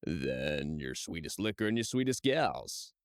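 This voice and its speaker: A man playing a lecherous, brash drunk foreign customer with a gravelly voice in an audio play. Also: extremely low pitch, very animated, a little slow, no room sound, clean studio sound, exaggerated voice. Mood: demanding. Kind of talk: audio play character acting.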